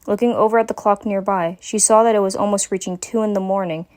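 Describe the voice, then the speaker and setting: A woman's voice: medium pitch, fairly even intonation, fast talker, a little mellow. A woman giving a dramatic reading of a scripted story as its narrator.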